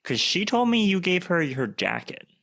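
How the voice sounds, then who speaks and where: A man's voice: sits high, very animated, normal speed, gravelly, fairly bright tone, voice pushed up, full character voice. A man playing a skeptical, probing teenage girl in a radio play.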